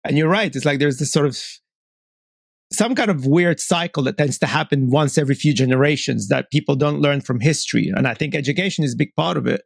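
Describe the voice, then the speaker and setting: A man's voice: fairly high pitch, a little fast, vocal fry, dry recording, clean studio sound. A man hosting a podcast interview, speaking bluntly and with animation, often repeating words as he restarts sentences. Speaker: podcast host. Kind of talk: casual interview talk.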